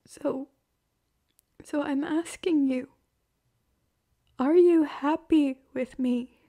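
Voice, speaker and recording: A young woman playing a hurt, heartbroken girlfriend in an emotional, halting ASMR roleplay monologue. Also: high-pitched, dark tone, full character voice. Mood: sad, pleading.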